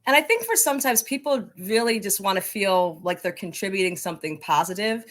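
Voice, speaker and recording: A woman's voice: medium pitch, lively intonation, fairly quick, fairly bright tone. A woman answering interview questions as a soccer coaching advocate, in an emphatic, passionate conversational way.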